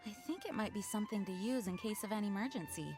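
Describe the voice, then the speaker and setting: A woman's voice: mid-range voice, quick pace, close to an everyday voice. A woman voicing a dutiful, caring young knight commander in an anime game, speaking formally and earnestly. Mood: gently stern. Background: music.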